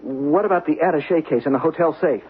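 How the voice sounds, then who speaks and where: An actor playing a young American traveler who has been robbed, indignant and stammering, in old radio drama style. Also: male voice, fairly high pitch, fast, slightly rough. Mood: frantic, outraged. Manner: exasperated, stammering protest. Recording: tinny band-limited old radio recording.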